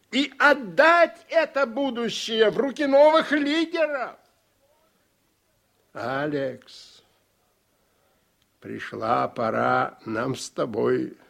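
Old politician guy giving a grave radio drama speech, high creaky voice, really slow. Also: fairly bright tone, exaggerated voice. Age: older. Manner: rhetorical, emphatic, deliberate with pauses. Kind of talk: Russian radio play oratory.

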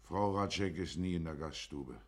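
Deep-voiced small-town witness. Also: male voice, normal speed. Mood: measured, deferential. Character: plain-spoken small-town neighbour testifying to a magistrate. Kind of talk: German radio drama acting.

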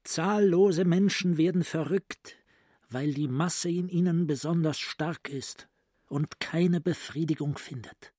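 A grandiose psychiatrist lost in dark private thought. The voice is mellow and breathy, slightly high for a man, and moves with slow, intense deliberation. It is a close, dry radio drama monologue. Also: no room sound. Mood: grave. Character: brooding, grandiose psychiatrist voicing his inner thoughts. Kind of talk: literary radio play monologue.

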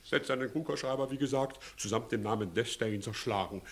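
An actor playing a sly, blustering village judge in a classical German comedy radio play, wheedling and evasive. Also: male voice, medium pitch, a little fast, close to an everyday voice. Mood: sly, submissive. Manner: blustering, wheedling, swinging between muttering and shouting.

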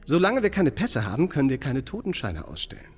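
Smug mayor with wildly swooping delivery. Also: male voice, mid-range voice, wildly swooping pitch, quick pace. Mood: evasive, bland. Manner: oratorical, unctuous, blandly bureaucratic. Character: evasive, self-satisfied small-town mayor of a seaside resort. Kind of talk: German radio drama acting. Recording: long hall reverb, music underneath.

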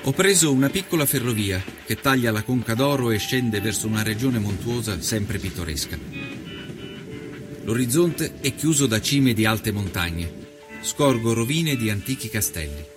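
A man playing an observant nineteenth-century journalist, reading a reflective travel diary for a documentary in a measured, literary way. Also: mid-range voice, lively intonation, lightly acted. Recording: music under the voice.

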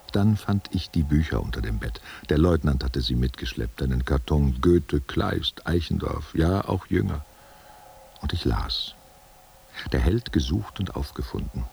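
An old stage player in a radio drama, with a very low, gravelly voice. He muses and reminisces tenderly, with theatrical swings in pitch, over noticeable background noise. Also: sing-song, moderate pace, a little mellow, exaggerated voice. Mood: musing. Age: older. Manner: musing, theatrical, answering unheard questions. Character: reminiscing old German actor, tender and musing over wine. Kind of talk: literary radio drama monologue.

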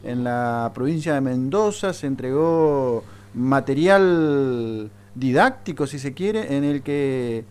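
A man's voice: medium pitch, animated, takes it slowly, a little mellow, clean tone. Argentine man hosting a radio talk show. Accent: Argentine Spanish. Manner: teasing, conversational. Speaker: radio host. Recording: FM radio broadcast studio.

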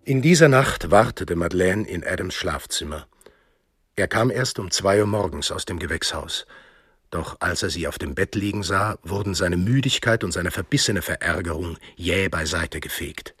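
A man's voice: deep voice, moderate pace, a bit bright, husky, dry recording. A man narrating a scripted radio drama as a storyteller.

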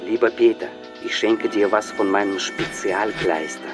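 A man's voice: fairly low pitch, normal speed, music in the background, natural voice. An actor playing a man who presents a trapping gift in declarative, letter-like lines in a radio play. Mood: confident giving.

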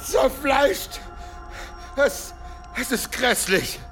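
An actor playing an excitable gatekeeper monk in a radio drama, agitated and faltering. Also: male voice, extremely high pitch, very slow, soft background music, heavily acted. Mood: horrified. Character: excitable, frightened monk guarding the monastery gate. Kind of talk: German radio drama acting.

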